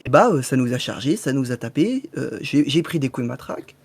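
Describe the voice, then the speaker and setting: A man's voice: mid-range voice, animated, brisk, vocal fry, a little mellow, slight background noise. A man speaking as a protester in a debate, earnest and argumentative as he presses his point.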